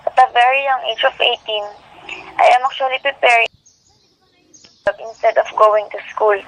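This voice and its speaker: A woman's voice: on the high side, steady tone, normal speed, a bit bright, lightly acted. A woman playing a weary young working girl who narrates her life in a scripted first-person style. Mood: weary, resigned. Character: weary, orphaned eighteen-year-old working girl narrating. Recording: dull lo-fi recording.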